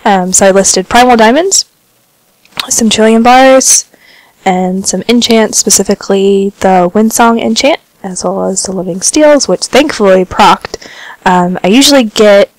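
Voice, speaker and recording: A woman talks casually over her game footage, a bit congested from a cold. Her voice sits in a mid range, and the recording is close and dry with no room sound. She rambles in a relaxed way, with plenty of ums. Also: mid-range voice, measured pace, dry recording, rough recording. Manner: relaxed, chatty, filler-heavy. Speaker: gaming vlogger. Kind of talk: casual gameplay commentary.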